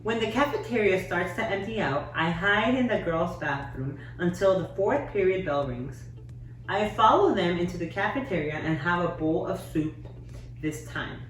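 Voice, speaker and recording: A woman teacher reading a children's novel aloud in a measured, steady way, with occasional slips. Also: lowish voice, a little hiss or hum. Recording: echoey room, distant mic, faint music.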